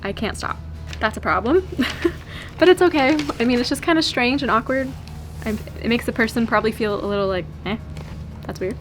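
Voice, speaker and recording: Young american gal vlogging, bit high voice, giggly and chatty, faint rumble behind. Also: female voice, moderate pace, long pauses. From California. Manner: chatty, giggly, lively storytelling. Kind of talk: casual chat to camera.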